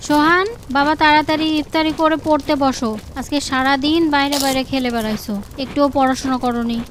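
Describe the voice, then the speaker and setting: A busy village mother fusses over her son and haggles at the market, voiced by a woman. She speaks quickly, firm and tender at once. Her voice sits high over faint noise. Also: fairly even intonation, close to an everyday voice. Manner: brisk, scolding yet tender. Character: village mother, caring but scolding.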